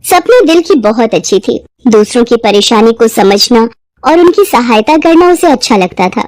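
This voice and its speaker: A woman tells a scripted tale as narrator. Her voice is high, and the recording is dry and close, with almost no room sound. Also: expressive, moderate pace, darkish tone, no echo. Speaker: storyteller.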